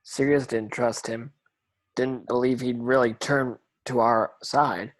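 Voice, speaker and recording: A troubled teenage boy wizard, read by a man with a medium-pitched voice. He speaks plainly and conversationally, distrustful and quick to challenge, as in a drama table read. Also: steady tone, natural voice, poor audio. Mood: defensive. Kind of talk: dramatic script read-through.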